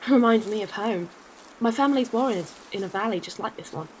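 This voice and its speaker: A woman's voice: medium pitch, fairly quick, a little mellow, slight background noise, lightly acted, poor audio. A woman playing a friendly, practical alien courier in a science-fiction audio drama, easygoing and matter-of-fact.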